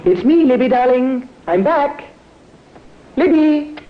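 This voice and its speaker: An actor playing a smooth-talking, scheming bridegroom who feigns devotion, solicitous and theatrical, in old-time radio drama style. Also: male voice, very high-pitched, lively intonation, takes it slowly, full character voice. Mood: frantic. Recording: muffled, noisy vintage radio recording.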